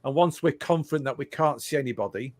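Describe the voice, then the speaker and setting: A man playing a tabletop game, talking casually and deliberately as he plans aloud, often repeating phrases. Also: mid-range voice. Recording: clear background noise, clicks.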